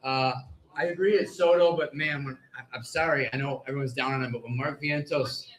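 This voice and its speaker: A man in his forties speaks as a sports panelist in a reverberant live room. His pitch is average and his delivery is measured, with wry hedges. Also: steady tone. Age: 40s. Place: live convention panel on stage.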